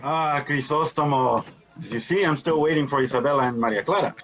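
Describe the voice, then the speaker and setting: An actor playing a genial, eager-to-please wealthy father and host in a radio drama, courteous and placating, with a husky voice. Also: medium pitch, fairly quick, a bit bright, natural voice. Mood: apologetic, anxious.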